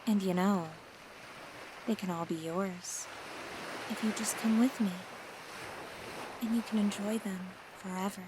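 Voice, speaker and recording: A woman playing an obsessive, possessive mermaid who lures a pirate down to her undersea palace, speaking in a slow, coaxing, intimate ASMR roleplay. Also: medium pitch, noisy background. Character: possessive, obsessive female mermaid queen, young adult.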